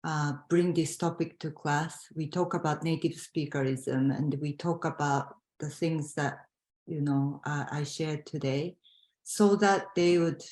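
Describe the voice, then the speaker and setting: Low, slow woman in an echoey room. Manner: halting, restarts, thoughtful. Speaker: language teacher, guest professor. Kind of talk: answering an audience question.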